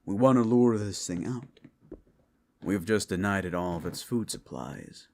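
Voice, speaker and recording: A man voicing a gruff, seasoned demon monster hunter in an action-horror audio roleplay, with a gravelly voice and a wry, laconic, protective manner. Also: low voice, a little hiss or hum.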